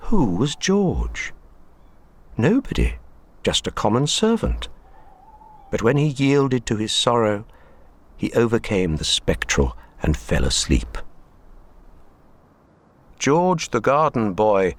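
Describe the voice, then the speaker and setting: A man playing a measured, wry literary narrator in a radio drama. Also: mid-range voice, wildly swooping pitch, heavily acted.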